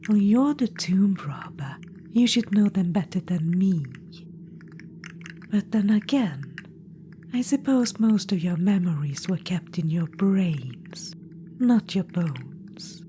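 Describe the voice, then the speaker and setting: A woman voicing a haughty ancient witch-queen trapped in an orb, speaking in a soft, regal, condescending whisper for an ASMR roleplay. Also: medium pitch, lively intonation, slow pace, slight background noise.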